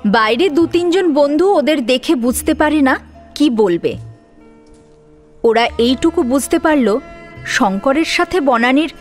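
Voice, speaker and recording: Lady narrator, high pitched, dramatic audio story reading, light music under it. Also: animated, measured pace, long pauses.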